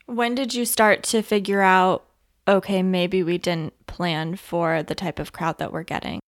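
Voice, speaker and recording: A woman's voice: lowish voice, fairly even intonation, unhurried. A woman hosting a podcast, asking interview questions and narrating the story.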